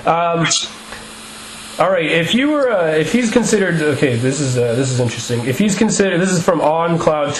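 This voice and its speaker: A man interviewing a musician for a radio show, asking long, rambling questions with restarts and quick laughs. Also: fairly high pitch, animated, reverberant, a crowd in the background, low-quality recording. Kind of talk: casual interview.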